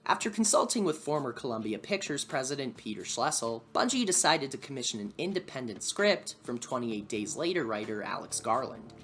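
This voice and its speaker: A man's voice: mid-range voice, fairly bright tone. A man reading scripted video essay narration with wry, dry humour. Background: background music.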